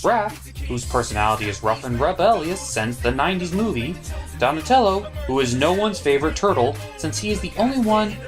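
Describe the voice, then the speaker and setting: A man's voice: fairly high pitch, big pitch swings, a little fast, over music. A man narrating a scripted cartoon review as host, opinionated and emphatic.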